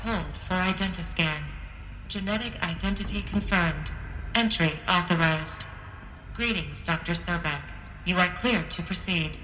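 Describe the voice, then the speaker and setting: Security system AI: crisp, bright, impersonal greeting, echoey room, faint noise. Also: female voice, lowish voice, measured pace. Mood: impersonal, welcoming. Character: formal automated security computer greeting a visitor. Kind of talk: video game announcement. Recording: roomy echo, faint ambient noise.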